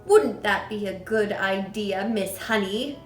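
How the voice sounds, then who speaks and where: A woman's voice: takes it slowly, faint music behind, close to an everyday voice. A woman voicing a huge, child-hating headmistress who barks and rants, read aloud in an echoey room. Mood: scornful. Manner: booming, barking, ranting.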